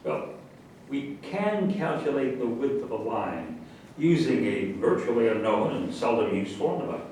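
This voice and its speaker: Heard from far off in a very echoey room, a man plays a pedantic male scientific commander. He is self-assured and deliberate, explaining like a mock scholar in a stage satire, at an average male pitch. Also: natural voice. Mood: measured. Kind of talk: staged reading of a satirical play. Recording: echoey room, distant mic, faint noise.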